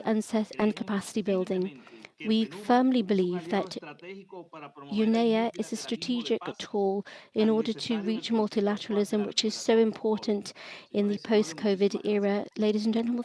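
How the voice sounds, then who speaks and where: A woman's voice: mid-range voice, steady tone, moderate pace, warm tone. A woman delegate delivering a formal prepared speech, reading from text. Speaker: government delegate.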